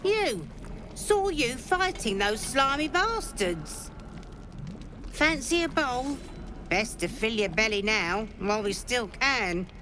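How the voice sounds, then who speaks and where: Gruff camp cook, blunt and plain, voice swings up and down. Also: female voice, on the high side, very animated, takes it slowly, bright, forceful tone, slight background noise, exaggerated voice. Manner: blunt, brusque, plain-spoken. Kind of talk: video game dialogue.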